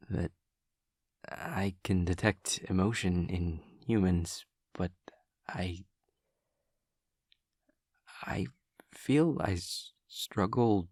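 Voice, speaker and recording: Pria memerankan iblis muda canggung bersuara rendah serak. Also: male voice, low-pitched, creaky, darkish tone, breathy edge, dry recording, studio quality, full character voice. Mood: awkward, apologetic. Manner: halting, stammering, formal and overly literal. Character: awkward, earnest male demon classmate who senses emotions. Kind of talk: scripted audio roleplay to the listener.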